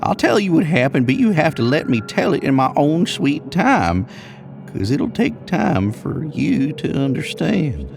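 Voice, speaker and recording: A man's voice: fairly high pitch, sing-song, a little slow, gravelly, over music, heavily acted. A man voicing an older, rambling American cowboy in an audio horror story, with a folksy, drawling manner. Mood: testy. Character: older rambling cowboy spinning a spooky trail tale.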